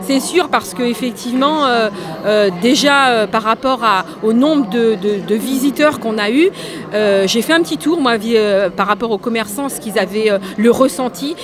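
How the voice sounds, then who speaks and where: Chatty female organizer, fast and upbeat, slightly high voice, with a crowd audible behind. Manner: quick, enthusiastic, many fillers. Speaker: event organizer and shop owner. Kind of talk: interview answer. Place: indoor exhibition hall. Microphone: handheld mic.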